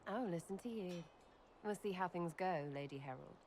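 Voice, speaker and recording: An actress playing a brash, irreverent young elf archer in a video game, with a blunt, slangy manner. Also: female voice, lowish voice, lively intonation, normal speed, a little mellow, a little hiss or hum. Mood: cheeky, sardonic. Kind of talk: video game character acting.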